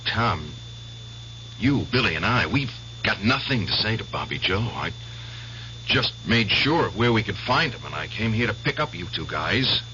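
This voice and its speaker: Deep, forceful, vengeful radio veteran. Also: male voice, very animated, normal speed, a little hiss or hum. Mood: intense, resolved. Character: obsessed, vengeful middle-aged war veteran. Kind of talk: old-time radio drama acting. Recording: dull lo-fi radio drama recording.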